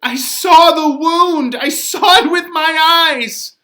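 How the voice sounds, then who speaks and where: A man voicing a distraught older nurse from a Shakespeare play, crying out in loud, drawn-out sobs. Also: extremely high pitch, pitched above the performer's own voice, full character voice. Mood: grieving. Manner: loud, drawn-out, sobbing cries.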